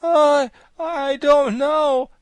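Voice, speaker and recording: A man voicing a plucky, excitable young boy in a storybook, quick to exclaim and sulk. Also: high voice, no room sound, pitched above the performer's own voice. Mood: agonized. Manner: exclamatory, petulant. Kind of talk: storybook character voice.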